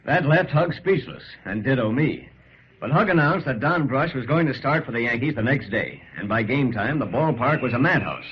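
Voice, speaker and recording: An actor playing a keyed-up sidekick who narrates the story in dramatic first-person radio style. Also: male voice, medium pitch, animated, moderate pace, lightly acted. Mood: tense, wry. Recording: dull old radio recording, loud background noise.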